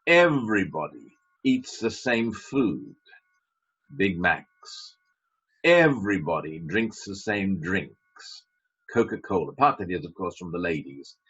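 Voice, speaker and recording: A man's voice: low-pitched, very animated, slow, fairly bright tone, slightly rough. A man preaching a sermon as a pastor, emphatic, with wry asides. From Britain. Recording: dull lo-fi sermon recording.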